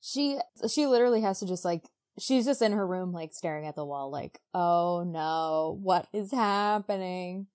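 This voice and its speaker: A woman's voice: on the low side, normal speed, few pauses, darkish tone. Female podcast co-host, informal comedic recapper who slips into characters' lines in slangy modern speech. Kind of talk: casual comedic book recap.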